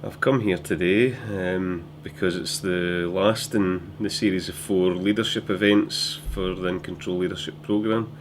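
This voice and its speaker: A Scottish man speaks in a very deep voice, earnest and measured. A faint hum sits behind him. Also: steady tone. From Falkirk, Scotland. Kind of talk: interview answer.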